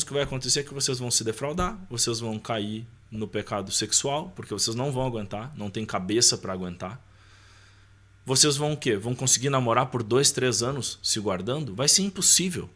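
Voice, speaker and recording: An adult man with a medium-pitched voice. He talks casually, like a podcast guest recounting his own life. He often acts out bits of remembered dialogue and drops in small tag questions. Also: lively intonation, fairly quick, sharp tone. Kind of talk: casual personal storytelling.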